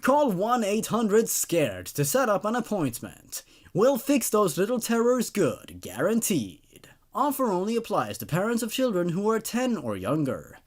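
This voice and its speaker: A man voicing a cheesy shapeshifting boogeyman who pitches his services like an infomercial salesman in a storybook narration. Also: wildly swooping pitch, a little slow, exaggerated voice. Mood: brisk pitch.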